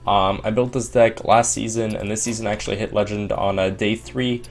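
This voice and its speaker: A man's voice: fairly low pitch, steady tone, faint music behind. A young man with a slightly sore voice, casually walking through a card game deck with lots of ums and restarts. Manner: quick, filler-heavy delivery with frequent ums and restarts. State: sore voice from long streaming. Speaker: Hearthstone player explaining a deck.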